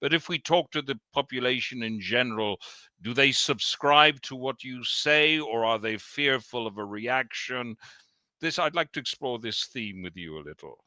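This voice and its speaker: A man's voice: medium pitch, lively intonation, normal speed, pushed and bright, no echo. A male podcast host listening attentively with short agreements and asking long, searching questions. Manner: attentive, frequent "hmm" and "right" backchannels, rambling questions. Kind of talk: podcast interview.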